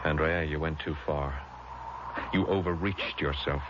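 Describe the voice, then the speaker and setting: An actor playing a stern, controlled husband, measured and grave, in 1970s radio drama style. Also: male voice, a bass voice, fairly even intonation. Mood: grave, explaining. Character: stern, controlled husband outwitting a murderous woman. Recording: noisy, muffled vintage radio recording.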